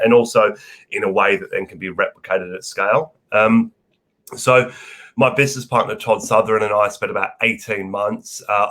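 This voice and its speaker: A man's voice: lowish voice, fairly bright tone. An Australian man with a head cold, talking casually as a podcast guest and rambling through many fillers and restarts. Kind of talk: casual interview chat.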